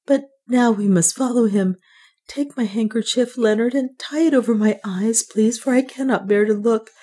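Lady reader doing a scared young heroine in an adventure audiobook, high voice, tearful n pleading. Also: normal speed, dark tone, slightly rough. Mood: faint, fearful. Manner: faint, emotional, pleading. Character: frightened young woman, proud but tearful, pleading and loving.

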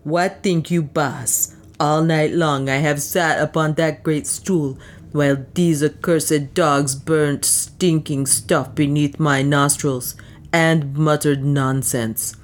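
A cranky male dwarf forced to play a god, as a female narrator puts him on, voiced low and grumbling with slow, heavy indignation. He sounds hungry and fed up, his complaints rough and resentful. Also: steady tone. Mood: indignant.

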